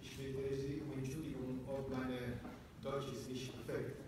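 A middle-aged man, not a native speaker, giving a formal presentation at a lectern, halting with many hesitations and restarts. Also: mid-range voice, little pitch movement, noisy background. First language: not German. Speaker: guest speaker. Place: large lecture hall. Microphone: lectern mic, recorded from far in the audience. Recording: distant echoey hall recording.

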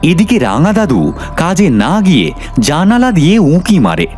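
A man's voice: a little high, huge pitch swings, a little slow, a little mellow, faint music behind. A man narrating a cartoon folk tale in a dramatic storytelling style.

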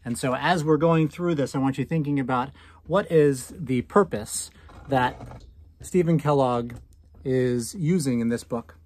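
An American man in his thirties reading a picture book aloud to children, commenting and asking questions with drawn-out emphasis on key words. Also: medium pitch, darkish tone. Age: 30s. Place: small indoor room at home.

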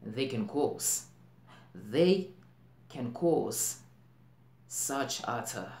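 Slow, anxious doomsayer acted by a man. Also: mid-range voice, expressive, a little mellow. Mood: grimly insistent. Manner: deliberate, broken phrases with heavy pauses and emphatic swells. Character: anxious, intense man brooding on global plagues. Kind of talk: dramatic stage monologue. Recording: roomy echo, faint background noise.

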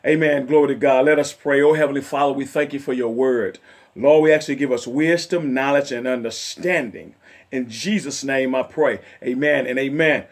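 A middle-aged male evangelist with a raspy voice, preaching fervently from a chair in his living room and sprinkling in amens and hallelujahs. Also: medium pitch, moderate pace. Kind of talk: home sermon and Bible study. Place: home living room, seated in a leather chair.

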